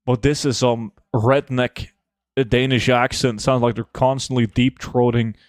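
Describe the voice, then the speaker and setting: A young man streaming a game, chatting casually and answering viewer questions in a relaxed, offhand way. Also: medium pitch, unhurried, no room sound, studio quality. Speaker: gaming streamer reading chat. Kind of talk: casual live gameplay chat.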